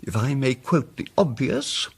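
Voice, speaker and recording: An actor playing a mild, muddled amateur detective in a radio drama, speaking with hesitant, fussy precision and old-fashioned politeness. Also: male voice, medium pitch, very animated, unhurried, creaky, breathy edge, exaggerated voice. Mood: delicate, grave. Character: mild, muddled, apologetic male amateur detective explaining his deductions.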